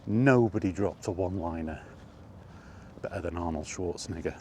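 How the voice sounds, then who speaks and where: Male vlog host, deep-pitched, conversational and casual, talking straight through with asides, faint ambient noise in the background. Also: normal speed. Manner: conversational, rhetorical questions and asides. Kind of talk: casual film chat monologue.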